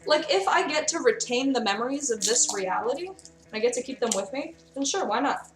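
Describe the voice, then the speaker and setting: A woman's voice: high-pitched, expressive, a little fast, distant mic, crowd noise. Chatty young woman streaming from a kitchen. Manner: chatty, reads out and answers chat. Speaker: streamer cooking live. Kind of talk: casual live-stream chat. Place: kitchen in a friend's house. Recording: roomy, off-mic, with faint music. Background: faint music.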